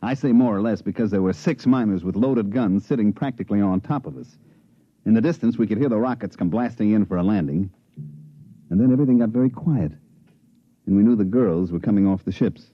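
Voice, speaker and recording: A man's voice: fairly low pitch, brisk, natural voice. An actor playing a world-weary male swindler who narrates a 1950s radio play, with a drawling, sighing delivery. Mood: narrating. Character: weary, wisecracking male swindler, middle-aged. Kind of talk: 1950s radio drama acting. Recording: dull vintage radio broadcast.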